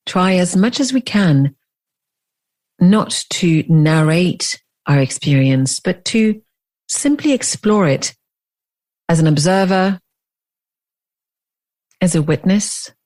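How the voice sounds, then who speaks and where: A woman leading a guided meditation as a teacher, speaking in deliberate phrases with long pauses. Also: fairly low pitch, lively intonation, darkish tone.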